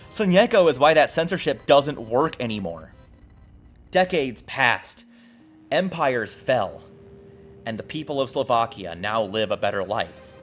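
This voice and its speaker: A man hosting a video essay, narrating from a script with casual, profane asides in an irreverent, jokey, emphatic way. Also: medium pitch. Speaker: host of a video essay show. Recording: music playing behind the voice. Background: background music.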